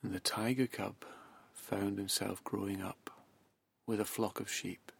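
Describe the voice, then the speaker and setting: Low gravelly guy doing a hypnosis track, super slow soft half whisper. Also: flat delivery, dry recording. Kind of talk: guided hypnosis narration.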